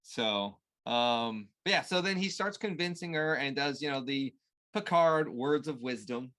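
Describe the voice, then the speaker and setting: A man in casual conversation as a co-host, recapping a story in a halting way and searching for words. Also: mid-range voice, expressive, a bit bright. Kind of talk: casual chat recapping a TV show.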